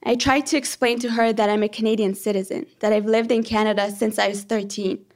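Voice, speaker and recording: A young woman from Iran, a PhD candidate, telling a personal story on stage in an earnest, measured and reflective way. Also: mid-range voice, fairly even intonation.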